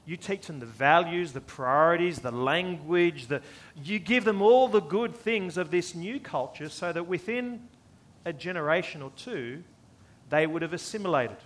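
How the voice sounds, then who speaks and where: Aussie guy preaching, pretty high voice for a man, repeats stuff for emphasis and asks rhetorical questions. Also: animated, normal speed, fairly bright tone. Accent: Australian. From born in the US, lived most of his life in Australia. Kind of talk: expository sermon to a congregation.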